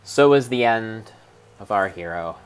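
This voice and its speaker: Deep-voiced man, theatrical storybook narration. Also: animated, clear, resonant tone, soft background music. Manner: theatrical, solemn, mock-epic delivery with drawn-out words. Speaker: streamer narrating own gameplay. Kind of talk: dramatic storybook narration of a video game.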